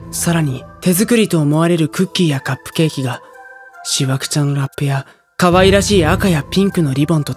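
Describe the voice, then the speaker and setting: 高校生の少年役として、沈んだ調子で物語を語る男性の声優。. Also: male voice, a little high, close to an everyday voice. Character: subdued high school boy narrating his story. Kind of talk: scripted first-person drama narration. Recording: music under the voice.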